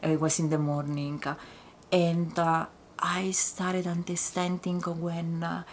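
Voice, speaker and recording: A woman with an Italian accent, a native Italian speaker, talking reflectively in an interview with halting, searching phrasing and many fillers. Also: on the low side, little pitch movement, a little hiss or hum. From Italy. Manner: halting, full of pauses and fillers. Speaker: writer and teacher.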